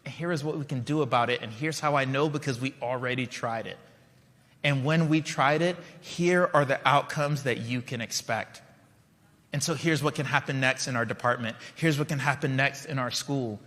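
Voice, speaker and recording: A man with a bright, forceful voice delivers a keynote from a stage. His talk is conversational and story-driven, with frequent asides to the crowd. The sound carries a long reverb from a large hall. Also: medium pitch, normal speed. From Liberia. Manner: conversational, storytelling, checks in with the audience. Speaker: keynote speaker. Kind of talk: conference keynote speech.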